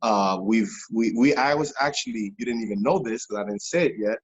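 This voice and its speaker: A man from Haiti speaks in a fairly low, husky voice. He talks animatedly, repeats phrases and fills gaps with "you know". The audio is dull and lo-fi. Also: a little fast. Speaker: pastor and music missionary guest. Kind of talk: casual interview testimony. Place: New York based, remote interview.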